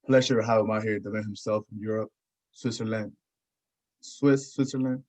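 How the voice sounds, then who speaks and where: A man's voice: lowish voice, steady tone, moderate pace, darkish tone. A man hosting a podcast in casual chat, often repeating his phrases. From Houston area, United States. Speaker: podcast host. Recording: dull, lo-fi audio.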